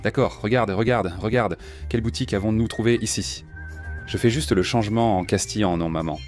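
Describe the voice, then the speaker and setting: A man's voice: lowish voice, a little fast, a little mellow, soft background music. A man hosting a cycling vlog, chatting eagerly as he rides and tours a small shop. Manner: chatty, enthusiastic, often repeats "regarde". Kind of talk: casual on-the-ride vlog chat.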